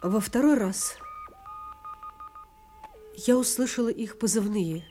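An actress playing a solemn wartime radio operator, remembering events in deliberate, reflective radio-drama narration. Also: female voice, a little high, mellow, slight background noise. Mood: solemn, sorrowful.

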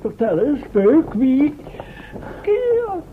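An actor playing a pompous, moralizing professor in a theatrical, preachy radio drama style. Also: male voice, extremely high pitch, wildly swooping pitch, slow pace, a little hiss or hum, exaggerated voice. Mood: alarmed. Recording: dull, lo-fi radio drama recording.

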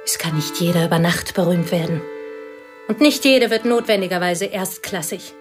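An actress in a radio play playing a bitter, possessive spinster, speaking in intense, deliberate short phrases with a breathy voice. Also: female voice, lowish voice, over music, lightly acted. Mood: intense, defensive. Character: bitter, possessive spinster devoted to her girlhood friend. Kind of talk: radio play acting in German.

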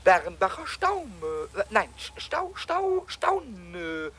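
A man playing a cheeky, childlike little kobold in a 1970s children's radio play, squeaky and excitable, stammering and rhyming. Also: very slow, breathy edge, heavily acted. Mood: scheming. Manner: stammering repeats, rhyming, excitable muttering and shrieking.

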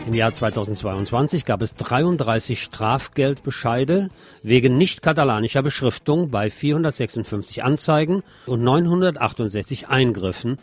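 A man reads scripted news reports as a presenter. His voice sits at an average male pitch. Guitar music plays behind him. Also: expressive, normal speed, husky. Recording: music under the voice.

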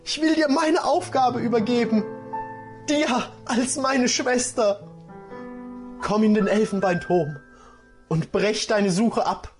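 A man reading aloud and voicing a weary young fairy empress who speaks slowly and solemnly. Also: very high voice, faint music behind, voice pushed up. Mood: pleading.

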